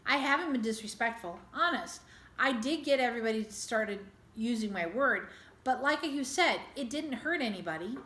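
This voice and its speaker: A woman's voice: a little high, animated, moderate pace, a bit bright. A woman reader voicing an earnest, stubborn schoolboy in a storybook, plain-spoken and insistent. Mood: defensive. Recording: echoey room.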